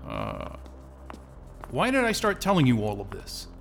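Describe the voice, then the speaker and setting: A man's voice: medium pitch, huge pitch swings, unhurried, creaky, soft background music, exaggerated voice. A man acting in an audio drama as a confused, impatient interview candidate who rambles and hesitates in a casual way. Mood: groggy, confused.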